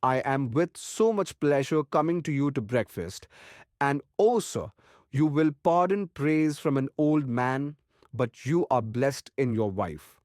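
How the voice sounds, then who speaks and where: An actor playing a kindly, courtly old doctor in an audiobook, speaking formally and earnestly. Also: male voice, mid-range voice, expressive, a little slow, darkish tone, no echo, clean studio sound, close to an everyday voice. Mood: pleased, courtly. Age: older. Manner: earnest, courtly, formal, quaint foreign phrasing. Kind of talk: audiobook character acting.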